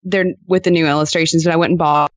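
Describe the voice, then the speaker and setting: A woman in her thirties chatting casually and telling anecdotes in an animated way as a podcast guest. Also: sits low, fast, no echo. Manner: animated storyteller, peppers talk with "like".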